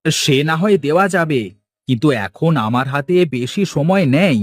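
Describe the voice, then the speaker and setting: A man voices a village fish seller for a cartoon. He is folksy and polite, always busy, with a slightly high voice that swings freely in pitch. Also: lively intonation, moderate pace, no room sound, clean studio sound. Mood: businesslike. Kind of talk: Bengali cartoon dialogue acting.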